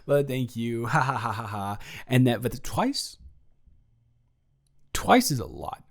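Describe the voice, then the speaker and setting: A man's voice: medium pitch, sing-song, unhurried. A man playing a flustered, self-deprecating young man who rambles and stammers in an improvised audio roleplay monologue. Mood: stunned, stammering. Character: flustered, rambling young man nervously confessing to his roommate.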